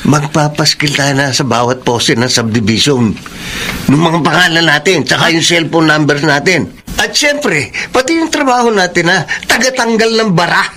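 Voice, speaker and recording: An actor playing a scheming, worn-down poor laborer in an emphatic Filipino radio drama style. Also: male voice, on the high side, moderate pace, bright, forceful tone. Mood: sly, scheming.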